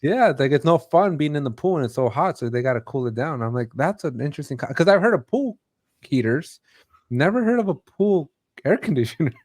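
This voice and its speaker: A man's voice: a little high, animated, fairly quick, darkish tone. A man hosting an interview in a casual, conversational style. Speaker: host.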